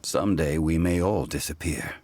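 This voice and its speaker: An actor playing an ancient male god in a game, calm and dignified, speaking in a measured, solemn way. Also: rumbling low. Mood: grave. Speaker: game character. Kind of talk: game dialogue.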